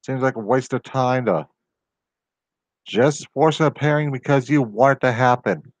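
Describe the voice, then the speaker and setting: A man's voice: mid-range voice, unhurried, no echo. Rambling man venting in a dry room. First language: not Japanese. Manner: rambling, exasperated, goes on tangents. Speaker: video host. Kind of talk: casual solo commentary.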